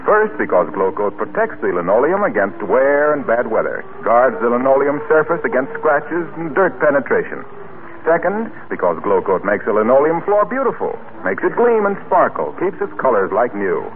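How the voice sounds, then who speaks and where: A man's voice: mid-range voice, big pitch swings, moderate pace. An American man announcing a scripted 1940s radio commercial in a polished, emphatic sales style. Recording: tinny, hissy old radio recording.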